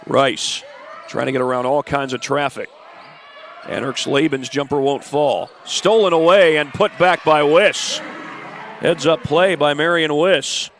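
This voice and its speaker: A congested man with the flu giving steady play-by-play of a high school girls' basketball game, adding stats and schedule notes. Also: measured pace. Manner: steady, informative play-by-play with stat and schedule asides. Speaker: play-by-play announcer. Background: faint gym ambience, ball bouncing.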